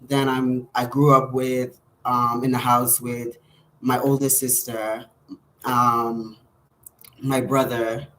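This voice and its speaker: A man's voice: mid-range voice, little pitch movement, slow pace, a bit bright, low-quality recording. A man in his thirties with a Bahamian accent tells a personal story to camera in a candid, hesitant way. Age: 30s. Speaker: vlogger.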